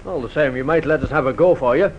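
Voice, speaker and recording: An actor playing a sly, matter-of-fact police constable in a 1950s radio drama. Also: male voice, medium pitch, fast talker, natural voice. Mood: slyly helpful. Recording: very noisy, muffled old radio recording.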